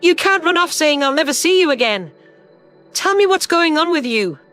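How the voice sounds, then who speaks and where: A man's voice: extremely high pitch, lively intonation, normal speed, bright, forceful tone, faint music behind, pitched above the performer's own voice, exaggerated voice. A man voicing a worried, hesitant middle-aged alien mother in a sci-fi audio story, reasoning and imploring.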